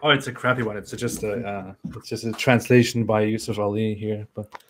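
A young man chatting casually on a livestream as a guest, speaking in a mock-pious, halting way that repeats phrases. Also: on the low side, little pitch movement, a little hiss or hum. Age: young adult. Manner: mock-pious, hesitant repetition. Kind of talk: casual joking livestream chat. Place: small room at home, video call.

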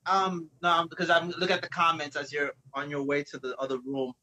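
A man hosting a live open mic stream, chatting excitedly on a video call and rambling as he explains the rules. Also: a little high, fairly quick, rough recording. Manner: excitable, rambling, restarts a lot. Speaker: host of an open mic livestream. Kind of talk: casual live-stream chat.

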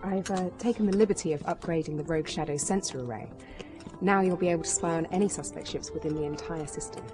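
Actress as self-assured young woman pilot, dry, formal, low register, game cutscene. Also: fast, over music, lightly acted. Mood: businesslike. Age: young adult. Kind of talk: video game cutscene acting.

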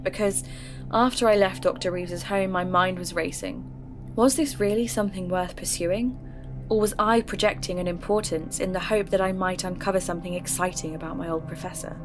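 An actress narrating in first person as a podcaster looking into a mystery. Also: female voice, lowish voice, natural voice. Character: young female podcaster investigating a mystery. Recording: music bed under narration.

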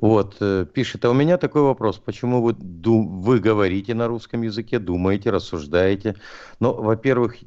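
Deep-ish guy reading chat comments on a stream, chatty, dull audio. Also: moderate pace, darkish tone. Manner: chatty, reads viewer comments aloud, laughs. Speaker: stream co-host.

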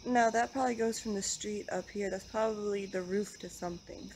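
A woman's voice: medium pitch, fairly even intonation, a little fast, a little mellow. A young American woman vlogging casually outdoors at night, talking into a handheld camera. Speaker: paranormal vlogger. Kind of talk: casual vlog narration and chat. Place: outdoors at night around a train station. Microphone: handheld camera microphone. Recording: noisy outdoor night recording with insects.

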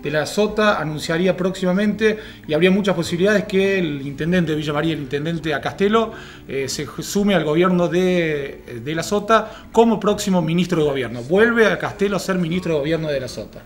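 Argentine guy in his 30s, slightly high voice, talking politics on a tv panel. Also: brisk. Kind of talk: reporting political rumours conversationally. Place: small TV studio at a panel table.

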